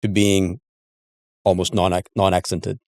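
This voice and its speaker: Man, middle-aged, slightly low pitch, dry sound, casual interviewer, halting phrasing, repeated words. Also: level tone, no room sound. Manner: halting, searching for words with repetitions. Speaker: interview host.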